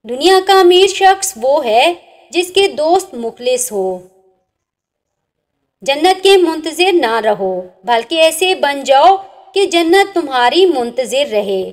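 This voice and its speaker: A woman reading inspirational religious sayings aloud in a measured recitation, with each saying ending on a falling, softer note. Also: sits high, expressive. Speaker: narrator.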